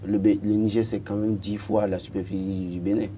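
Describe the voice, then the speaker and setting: Assertive Beninese man, low dark voice. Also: little pitch movement, a little fast, a little hiss or hum. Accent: Beninese French. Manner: assertive, argumentative, stutters on repeated words. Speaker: political commentator on a panel. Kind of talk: TV panel debate commentary.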